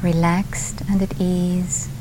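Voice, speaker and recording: A woman meditation teacher leading a guided meditation at a retreat, speaking calmly in short phrases with long pauses. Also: on the low side. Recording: steady background hiss.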